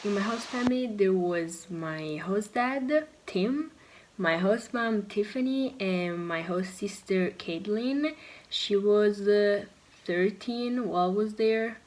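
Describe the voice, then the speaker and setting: An eighteen-year-old Italian woman with an Italian accent, chatting casually about being an exchange student and pausing to search for words. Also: mid-range voice, a little mellow. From Italy. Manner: unhurried, pauses to search for words. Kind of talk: casual vlog chat.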